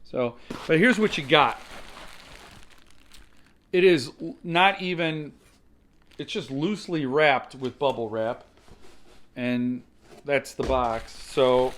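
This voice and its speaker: A man's voice: medium pitch, slow pace, pauses often, a bit bright. A man giving casual, opinionated unboxing commentary, explaining things conversationally as the host of his own review channel.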